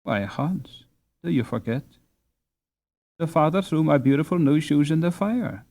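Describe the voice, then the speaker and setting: A man voicing a lively twelve-year-old girl from a storybook, teasing and tender, in an exclaiming, playful manner. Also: fairly high pitch, measured pace, pitched above the performer's own voice. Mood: plaintive.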